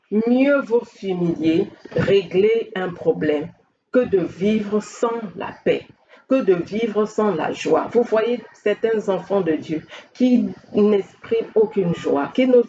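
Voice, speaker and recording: A woman with a French-speaking Central African accent preaches earnestly, pressing her listeners with question after question. Her voice is mellow and soft-edged. The recording is a little dull and carries a faint background hiss. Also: mid-range voice, expressive, slow pace. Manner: earnest exhortation with rhetorical questions. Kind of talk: sermon.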